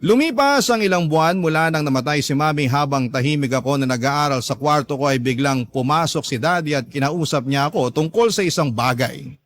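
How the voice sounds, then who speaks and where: Filipino storyteller, dry higher-pitched male voice. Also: fairly bright tone, no echo. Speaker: radio host reading a listener's letter. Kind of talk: dramatic scripted storytelling.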